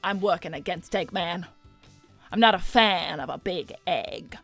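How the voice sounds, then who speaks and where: A woman's voice: on the low side, unhurried, fairly bright tone, soft background music, heavily acted. A woman as a seductive, manipulative cartoon villainess. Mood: smug, silky. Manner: coaxing, theatrical, condescending, breathy asides. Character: seductive, manipulative female talent-scout villainess. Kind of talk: improvised in-character livestream roleplay.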